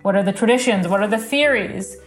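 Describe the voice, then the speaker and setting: A woman's voice: mid-range voice, fairly quick. A woman, the reporter's sister, speaking articulately and listing questions. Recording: faint music behind.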